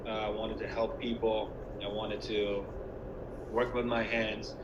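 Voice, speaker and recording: A man originally from Iran, raised later in the United States, speaks as a dentist giving an informal, explanatory online presentation to students, with hesitant pauses. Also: on the low side, level tone, muffled recording. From born and raised in Iran until age ten, then the United States. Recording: echoey room with clear background noise.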